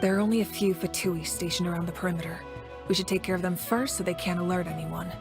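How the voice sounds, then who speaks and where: Video game commander: calm, authoritative female leader, measured delivery, music underneath. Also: fairly low pitch, gravelly. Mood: steady, watchful. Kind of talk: scripted video game voice acting.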